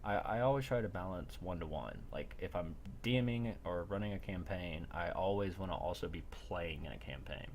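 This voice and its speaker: A man's voice: low voice, moderate pace, few pauses, slight background noise. A man chatting casually as game master of an online roleplaying game. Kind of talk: casual chat while running an online tabletop game.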